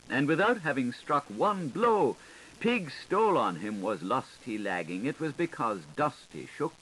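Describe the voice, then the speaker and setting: Animated male audiobook reader on hissy tape. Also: medium pitch, poor audio. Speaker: audiobook narrator. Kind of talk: literary audiobook reading. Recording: hissy 1980s tape recording. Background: steady tape hiss.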